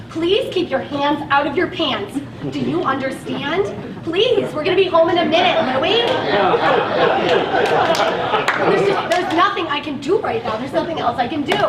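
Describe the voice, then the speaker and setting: A woman's voice: high voice, far from the mic. An actress playing an overwhelmed woman driving a van of patients in a stage play, pleading and stammering in a frazzled way. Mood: harried, upset. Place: theatre stage, picked up at a distance. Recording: roomy, noisy stage recording from afar.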